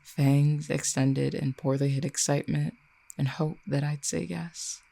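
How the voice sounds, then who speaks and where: Audio drama role: ancient fallen cupid, acted by one performer, mellow and creaky mid-pitched voice, formal, wry, quietly menacing. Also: little pitch movement. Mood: fond, reflective. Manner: formal, eloquent, measured archaic phrasing. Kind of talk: roleplay audio drama, addressing the listener.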